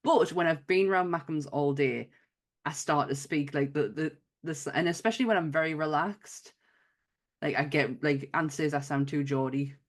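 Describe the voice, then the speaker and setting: A woman's voice: sits low, moderate pace. A woman with a light Wearside accent from north-east England, co-hosting a podcast in giggly, rambling casual chat. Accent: light Wearside (Sunderland–Durham). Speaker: podcast co-host.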